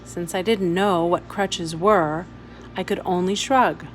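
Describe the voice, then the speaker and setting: A woman's voice: lowish voice, fairly even intonation, a little hiss or hum. A woman reading a story aloud expressively, as a teacher would for pupils. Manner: instructive, pausing to point out details.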